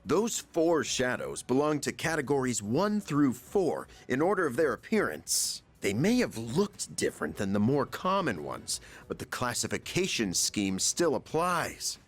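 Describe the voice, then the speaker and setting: A man's voice: medium pitch, big pitch swings, unhurried, few pauses, soft background music. An actor playing an eager middle-aged male chairman and researcher who lectures his team at length. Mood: lecturing. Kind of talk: video game voice acting.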